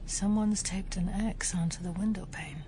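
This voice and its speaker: An actress playing a sceptical, no-nonsense FBI agent in an audio drama, speaking in a dry, measured way. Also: female voice, on the low side, natural voice. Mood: businesslike. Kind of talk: naturalistic audio drama acting. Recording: clear background noise.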